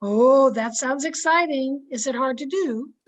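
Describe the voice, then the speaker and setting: A dotty, eager neighbour friend played by an actress in an old radio comedy. The voice is high and chirpy, with a gushing, sing-song delivery. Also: female voice, measured pace, background noise.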